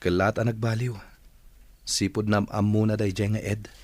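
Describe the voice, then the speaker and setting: A man's voice: on the low side, little pitch movement, moderate pace, darkish tone, dry recording, close to an everyday voice. An actor playing a regretful village man in an Ilocano radio drama. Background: music.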